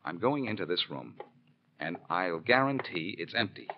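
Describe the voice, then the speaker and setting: Cocky, wisecracking detective, old radio. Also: male voice, low voice, lively intonation, normal speed. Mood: determined. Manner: glib, sardonic, needling. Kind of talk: 1940s radio detective drama acting. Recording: dull, noisy old radio recording.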